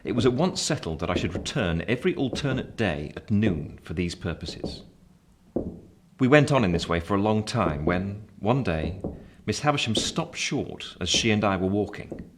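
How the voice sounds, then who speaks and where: A reflective man narrating his boyhood in first person for a radio drama. His voice is fairly low, measured and wry, over faint noise. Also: sing-song.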